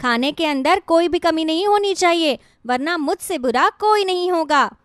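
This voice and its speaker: A woman's voice: very high-pitched, animated, moderate pace, no room sound, clean studio sound. A woman voicing a cruel, bossy young daughter-in-law in a cartoon story, with a haughty, scolding manner. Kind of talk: Hindi cartoon moral-story dialogue.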